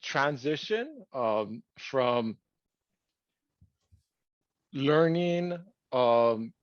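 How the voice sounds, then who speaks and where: A man's voice: medium pitch, big pitch swings, dry recording, highs cut off. Rambling man. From Hawaii. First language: Hawaiian. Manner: thoughtful, rambling, many pauses and restarts. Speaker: podcast co-host. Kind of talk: casual podcast chat.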